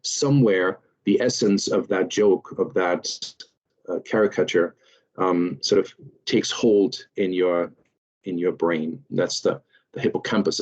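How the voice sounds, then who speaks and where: A man from Germany with a German accent, giving an online lecture as series host in a measured way with many fillers. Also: deep voice, poor audio. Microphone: video call.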